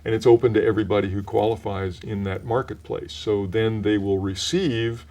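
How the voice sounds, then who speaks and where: A mellow-voiced older man with a somewhat low pitch talks through his work in a community TV interview. He speaks in a measured, explanatory way and often restarts his sentences. He sounds relaxed and informative. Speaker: voice actor guest.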